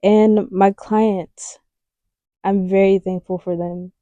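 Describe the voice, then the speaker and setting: This is a young woman in her twenties co-hosting a podcast in casual conversation. Her voice is mellow and warm, and her delivery is easygoing. The sound is dry and close to the mic. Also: medium pitch, level tone, slow, no room sound, dull audio. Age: 20s. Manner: easygoing, laughs often, speaks in short affirming replies. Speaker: podcast co-host. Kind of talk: casual chat.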